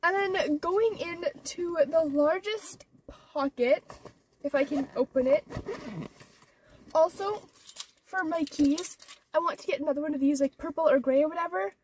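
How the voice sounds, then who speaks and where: Very high-voiced stuffed-up teenage girl. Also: a little slow, darkish tone, recorded from a distance, a little hiss or hum, poor audio. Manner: rambling, many "like" and "or whatever" fillers. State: stuffed-up nose from allergies. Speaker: vlogger. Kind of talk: casual vlog chat. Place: inside her car.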